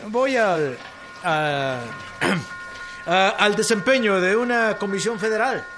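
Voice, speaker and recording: An actor playing a hesitant, guarded elderly general with a Mexican accent in a historical radio drama, halting as he speaks. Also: sits high, music in the background. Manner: halting, throat-clearing, evasive.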